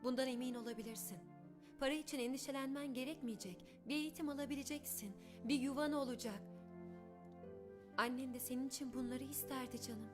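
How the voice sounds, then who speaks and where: A woman's voice: sits high, a little fast, music in the background, natural voice. A woman playing a caring but firmly persuasive young aunt in a dubbed cartoon, soothing and coaxing.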